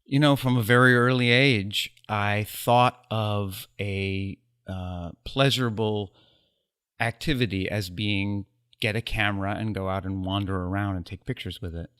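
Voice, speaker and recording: Low-voiced man, wry and reflective. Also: fairly even intonation, a bit bright, smooth. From United States. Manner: wry, self-deprecating humor; reflective, pauses with fillers. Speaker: guest photographer. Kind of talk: casual interview conversation.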